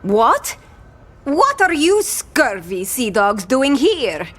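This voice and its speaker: A woman's voice: high-pitched, sing-song, extremely slow, full character voice. A woman playing a brash, fame-hungry pirate captain who talks in theatrical old-time pirate speak in a scripted audio adventure. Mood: indignant, defiant. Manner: theatrical, mock-archaic pirate talk.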